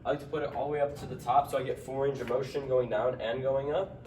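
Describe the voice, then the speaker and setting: A man's voice: medium pitch, fairly even intonation, fast talker, darkish tone, a little hiss or hum. Stuffy young male fitness vlogger, casual delivery, recorded at a distance in a roomy gym. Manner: trips over words, strains between sets. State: stuffy nose. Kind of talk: casual workout narration. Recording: echoey gym from far off.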